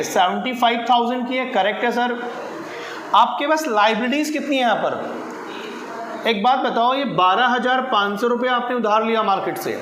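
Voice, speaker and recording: High-pitched male tutor, echoey room. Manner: question-and-answer teaching, answers own questions aloud; repeats key figures and phrases. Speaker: accountancy tutor. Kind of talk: classroom lesson at a board. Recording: echoey room with clear noise.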